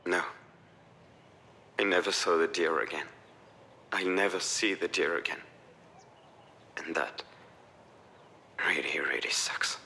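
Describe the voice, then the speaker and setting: A man's voice: low-pitched, slow, vocal fry, pauses often, sharp tone, a little hiss or hum, exaggerated voice. An actor playing a grieving, self-blaming father in a narrative video game, weary and wounded in his delivery. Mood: bitter sadness. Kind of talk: acted visual novel dialogue.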